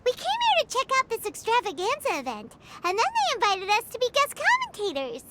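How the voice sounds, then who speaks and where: A woman's voice: very high-pitched, wildly swooping pitch, fairly quick, heavy background noise, exaggerated voice. A woman voicing a tiny, chatty female fairy companion who talks about herself in the third person. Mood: chirpy. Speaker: guest commentator. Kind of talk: video game voice acting. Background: game music.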